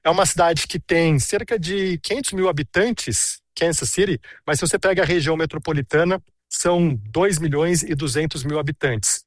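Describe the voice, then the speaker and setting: A man's voice: fairly high pitch, normal speed, few pauses, dry recording, studio quality. A man in his thirties giving a chatty on-location report, telling stories with many asides. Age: 30s.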